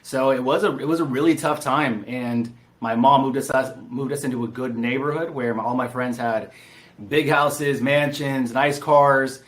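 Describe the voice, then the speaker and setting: A man's voice: mid-range voice, fairly even intonation, normal speed. Casual man. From San Diego, California. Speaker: podcast guest, real estate entrepreneur. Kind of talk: casual interview storytelling.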